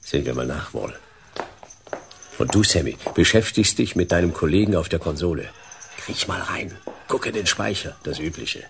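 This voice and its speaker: A man playing a laconic, skeptical private detective, clipped and deadpan, in a noir radio play. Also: sits low, normal speed, gravelly, a little hiss or hum, full character voice. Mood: wry. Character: laconic, dry, skeptical hard-boiled male private detective.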